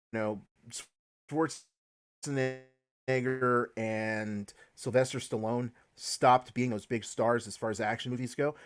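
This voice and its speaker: A man hosting a casual podcast chat, leading the talk with hedges and restarts. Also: mid-range voice. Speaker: podcast host.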